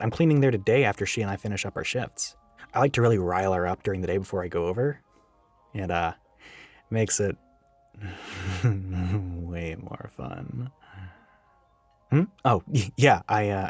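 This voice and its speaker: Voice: mid-range voice, no echo. Performer doing a bossy flirty scheming store coworker, sarcastic, really swoopy voice, close dry mic w music behind. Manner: sarcastic, teasing, swinging from barking to cooing. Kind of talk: acted audio roleplay monologue to listener.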